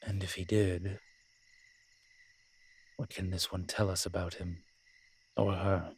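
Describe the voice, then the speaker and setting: A very deep, husky incubus demon played by a man talks straight to the listener in a roleplay audio drama. He is wry and flirtatious, teasing with a sardonic edge, and takes his time with dramatic pauses. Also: flat delivery, full character voice. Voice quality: husky, breathy low voice.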